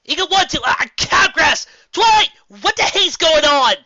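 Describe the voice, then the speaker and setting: A man voicing a brash young female pegasus pony in a fantasy story, with excitable, urgent delivery. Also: extremely high pitch, measured pace, no room sound, dull audio, voice pushed up, heavily acted. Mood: alarmed.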